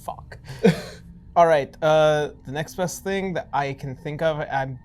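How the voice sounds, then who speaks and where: A woman's voice: sits low, takes it slowly, a little mellow. A woman playing a tabletop role-playing game in casual table chat.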